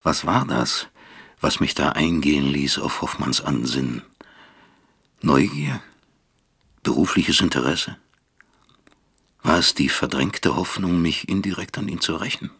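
Radio drama: embittered male doctor, low and rough-edged, deliberate, rhetorical, sardonic. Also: animated, a bit bright, heavily acted. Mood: brooding. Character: bitter, brooding East German male doctor and professor. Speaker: actor. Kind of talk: German radio drama acting, dialogue and inner monologue.

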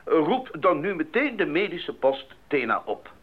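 An actor playing a decisive, concerned mission doctor in a Dutch radio drama. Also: male voice, a little high, big pitch swings, normal speed. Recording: dull recording with clear background noise.

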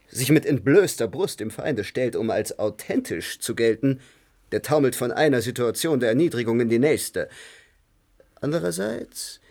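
An actor playing a theatrical stage actor who philosophizes in a declamatory manner. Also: male voice, mid-range voice, a little mellow, close to an everyday voice.

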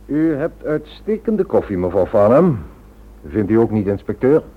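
An actor playing a suave, self-assured and dismissive operative in a Dutch radio drama, with a husky voice. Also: male voice, on the low side, big pitch swings, moderate pace. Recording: thin, phone-like old radio recording.

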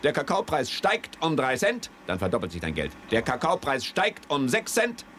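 Casting: smooth-talking, grandiose broker, very animated intonation, seventies radio drama, slight background noise. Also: male voice, medium pitch, normal speed. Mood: persuasive, cocky. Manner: grandiose, persuasive, patronising. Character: slick, cocky male commodities broker talking up quick riches. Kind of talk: 1970s German radio play acting.

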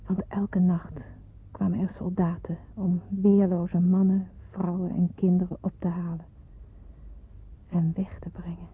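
A woman playing a fearful girl in hiding, telling her story solemnly in a breathy, hushed radio drama voice. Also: lowish voice. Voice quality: breathy, husky. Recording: muffled narrow-band radio recording.

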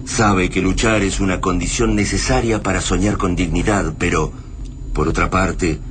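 An Argentine actor serving as solemn narrator of a radio drama, reading scripted lines with dramatic pauses. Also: male voice, low voice, steady tone, close to an everyday voice. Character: solemn male narrator of a post-invasion war tale. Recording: clearly noisy radio drama ambience.

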